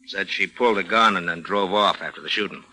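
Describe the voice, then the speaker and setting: A suspicious police lieutenant in a vintage radio crime play. His low voice has a very sharp, forceful edge, and he speaks in a dry, laconic, wry way. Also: fairly quick, full character voice, rough recording. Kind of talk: 1940s radio crime drama acting.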